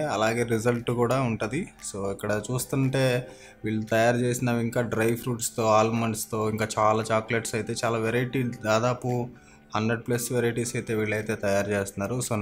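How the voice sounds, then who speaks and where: A young man vlogging a factory tour, explaining each step to his viewers in a friendly way, recorded indoors in a small workshop. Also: on the low side. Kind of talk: casual vlog narration.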